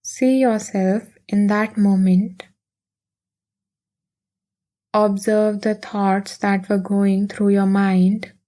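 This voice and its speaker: A woman leading a guided meditation in a soothing, gentle, unhurried manner with long pauses. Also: medium pitch, level tone.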